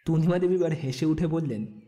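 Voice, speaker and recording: A man's voice: on the high side, moderate pace, warm tone, muffled recording. A man narrating a scripted audio story as the narrator between lines of dialogue. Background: faint music.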